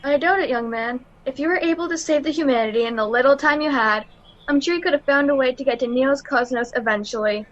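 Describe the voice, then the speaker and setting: A woman's voice: high-pitched, fast, highs cut off, lightly acted. A woman playing a reasonable, reassuring officer from Earth in a radio play.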